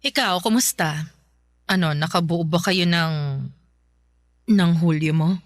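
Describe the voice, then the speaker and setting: A woman's voice: on the low side, takes it slowly, no room sound, studio quality. A woman playing a betrayed wife in a Filipino radio drama, bitter, fierce and accusing as she confronts a rival. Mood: guarded, grave.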